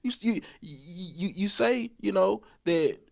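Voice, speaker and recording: A man's voice: high voice, expressive, slow pace, creaky. A man in his thirties co-hosting a podcast, giving relationship advice in a rambling, colloquial way, often repeating himself and laughing. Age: 30s. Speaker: podcast co-host. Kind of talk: casual relationship advice talk.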